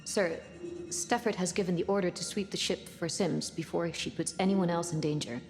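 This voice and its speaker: An actress playing a serious, composed spaceship officer in a video game, speaking in a measured, formal way. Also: female voice, on the low side, fairly even intonation, a little mellow, natural voice. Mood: formal, tense. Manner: measured, formal, matter-of-fact. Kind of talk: cinematic video game acting. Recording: reverberant room, music underneath.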